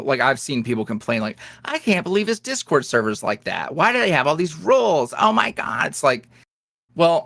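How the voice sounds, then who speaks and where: A man's voice: dry recording, clean studio sound. A young man, an ASMR roleplay creator, chatting candidly as a guest in an interview. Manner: candid, animated, jumps up in pitch when quoting others. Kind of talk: casual interview chat.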